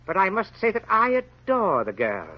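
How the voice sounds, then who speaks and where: An actor playing a sly, greedy innkeeper in an old-time radio drama, haggling with an oily, pious manner. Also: male voice, high voice, huge pitch swings, moderate pace, full character voice. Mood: wheedling, sly. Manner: oily mock piety, haggling. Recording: muffled vintage radio broadcast.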